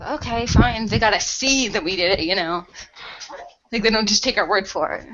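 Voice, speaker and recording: A woman's voice: medium pitch, moderate pace, fairly bright tone, slight background noise. A woman improvising casual role-play dialogue in an offhand, sarcastic way. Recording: dull lo-fi audio.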